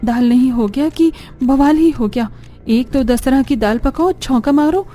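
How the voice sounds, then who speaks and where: Woman playing a flustered, high-voiced young daughter-in-law. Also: moderate pace, warm tone, natural voice. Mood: grumbling. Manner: dramatic, complaining. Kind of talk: Hindi cartoon story acting.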